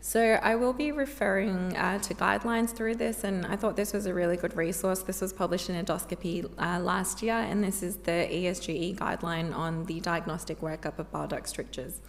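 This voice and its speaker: A woman specialist giving a medical conference lecture in a measured, informative way while losing her voice. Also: on the low side, steady tone, vocal fry. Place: conference hall. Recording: long hall reverb.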